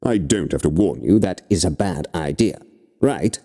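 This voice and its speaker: A man's voice: deep voice, expressive, moderate pace, mellow, long reverb tail. A man voicing a spirit that speaks inside a hero's mind, wry and explanatory, with cautioning asides.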